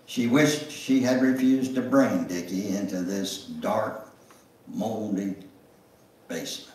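An older man gives a deliberate dramatic reading of a memoir, with pauses. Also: lowish voice, a little mellow, a little hiss or hum. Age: older, 70s or above. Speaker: guest speaker reading his memoir. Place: church sanctuary, standing at music stand. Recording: echoey church room, distant microphone.

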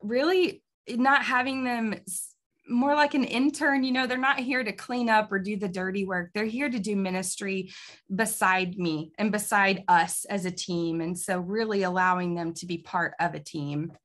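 A woman's voice: medium pitch, steady tone, moderate pace, fairly bright tone. A young woman, a kids ministry pastor, answering interview questions in an upbeat, casual way and laughing often, on a video call from a small office. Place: small office with bookshelves, indoors. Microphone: video call webcam.

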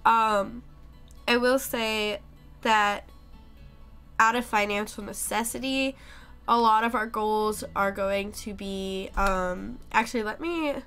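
A woman's voice: mid-range voice, takes it slowly, fairly bright tone, smooth, faint music behind. A young woman streaming live, chatting casually with viewers in a rambling way with many ums, sick and losing her voice at times. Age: young adult.

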